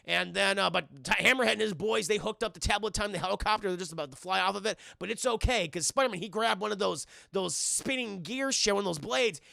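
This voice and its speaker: A man streaming an animated, profane recap of a cartoon, scene by scene, for a live chat. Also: high voice, fast, gravelly, few pauses, dry recording. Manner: profane, acts out characters' dialogue excitedly.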